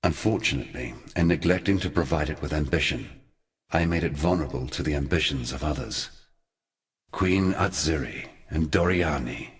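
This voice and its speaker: An actor playing a solemn, scheming ancient god in a video game, speaking in measured, grandiloquent monologue. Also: male voice, extremely low pitch. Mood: somber, regretful.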